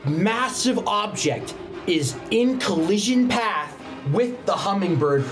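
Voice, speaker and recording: A man running a tabletop roleplaying game as game master, setting scenes in a dramatic, halting way. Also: high voice, lively intonation. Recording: background music behind the voice. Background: music.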